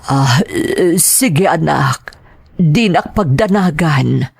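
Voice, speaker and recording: A woman playing an ailing, gentle mother in an Ilocano radio drama, speaking weakly and haltingly with a raspy voice. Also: low-pitched, animated, full character voice. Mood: weak, reassuring. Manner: weak, hesitant, halting. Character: ailing, gentle, self-sacrificing mother of a schoolgirl.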